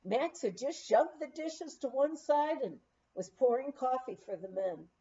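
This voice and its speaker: A woman reading a memoir aloud in an expressive, unhurried storytelling manner. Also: high-pitched, muffled recording. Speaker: audiobook reader.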